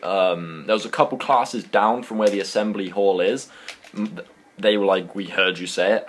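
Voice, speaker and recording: Young British man with a low voice. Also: a little hiss or hum. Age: young adult. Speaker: YouTuber telling a story. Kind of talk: casual anecdote storytelling.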